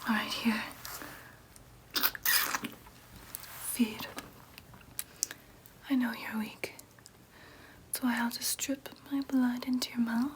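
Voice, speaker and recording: A breathy, whispering vampire nurse acted by a woman for an ASMR roleplay. She is gentle and soothing yet clinical, as if talking a patient through a routine procedure. Her delivery stays very even, with a faint wash of noise underneath. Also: a little high. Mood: soothing, coaxing. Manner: soothing, clinical, hesitant asides.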